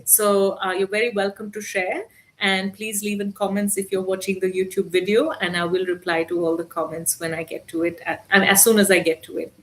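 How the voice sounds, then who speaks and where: Gentle woman, soft unhurried guidance. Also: mid-range voice, level tone. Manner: soft, gentle, unhurried with many hesitant fillers. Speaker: spiritual channeler hosting a live stream. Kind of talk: live-streamed spiritual guidance.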